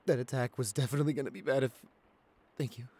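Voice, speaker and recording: A man acts out a shy young boyfriend in an intimate audio roleplay. He is anxious and soft-spoken, stammering and hesitating as he talks, with a medium-pitched voice. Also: quick pace, slightly rough, lightly acted. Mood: shaky, grateful. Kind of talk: boyfriend ASMR roleplay acting.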